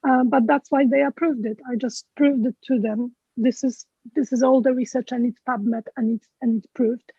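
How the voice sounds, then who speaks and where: Polish-accented woman, high voice, earnest and hesitant, thin phone-like call audio. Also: steady tone, moderate pace, few pauses, warm tone, slightly rough, dry recording. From Poland. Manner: earnest, hesitant with many fillers. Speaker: guest speaker. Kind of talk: interview answers. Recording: tinny dry phone-like sound.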